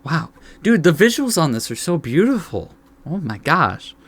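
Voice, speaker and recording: A man's voice: fairly high pitch, sing-song, moderate pace, fairly bright tone, breathy edge, no room sound. A man hosting a let's play, reading a visual novel aloud with informal commentary and stumbling over the text now and then.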